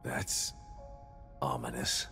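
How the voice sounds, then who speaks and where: A man playing a gruff, weary, determined ex-detective in a survival horror game, speaking tersely and sardonically. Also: extremely slow, sharp tone, faint music behind. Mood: wary.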